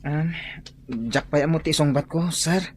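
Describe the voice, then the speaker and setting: A man's voice: a little high, fairly even intonation, measured pace, slight background noise, lightly acted. A man playing an earnest, polite young student in a radio drama, serious and hesitant.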